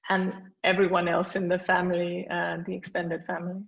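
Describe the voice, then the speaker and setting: Danish woman author on a video call; steady monotone, lots of ums, muffled sound. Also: lowish voice, fairly quick, vocal fry. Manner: hesitant with many fillers and restarts. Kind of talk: reading aloud then thanking people at an online book launch. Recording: dull lo-fi video call audio.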